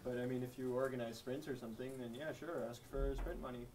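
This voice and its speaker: A man's voice: mid-range voice, fairly even intonation, fast talker. A man on a panel adding an offhand explanation. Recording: distant, noisy room.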